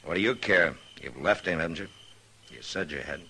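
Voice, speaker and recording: Stern frontier lawman for radio western: very low, bright edge, terse and commanding. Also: expressive, fairly quick, breathy edge, full character voice. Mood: pressing. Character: stern, steady frontier lawman, grown man. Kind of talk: 1950s radio western acting. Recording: noisy old radio recording.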